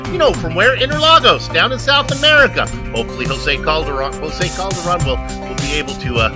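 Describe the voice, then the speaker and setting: Lively upbeat male race broadcaster. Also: a little high, fairly quick. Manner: upbeat, energetic announcer delivery. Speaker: broadcast commentator and host. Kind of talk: sim racing broadcast presenting. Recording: music bed playing under voice. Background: loud music.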